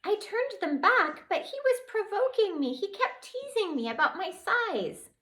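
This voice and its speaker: Woman doing a tiny fairy, high-pitched, weepy, sobbing, lively swings of pitch. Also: unhurried, exaggerated voice. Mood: tearful. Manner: weepy, sobbing between words. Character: tiny tearful female fairy, scared and touchy.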